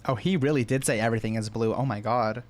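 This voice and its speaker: Very deep-voiced woman streaming games. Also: fast talker, a little mellow. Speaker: game streamer. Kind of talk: casual reaction commentary.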